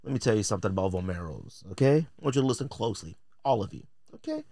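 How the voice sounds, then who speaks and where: An American man hosts a casual podcast in a very lively voice that swings up and down. He is blunt and joking, full of slang and theatrical emphasis. The sound is close and dry. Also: on the low side, fast talker, no echo. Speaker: podcast host. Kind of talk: casual opinionated podcast commentary.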